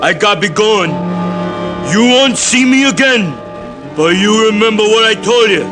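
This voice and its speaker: Man playing a bossy American ghost, high voice, drawling slangy wisecracks, old-time radio, music behind. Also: natural voice. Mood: instructing. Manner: drawn-out, slangy tough talk. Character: wisecracking male ghost of dead business partner, bossy, unhurried.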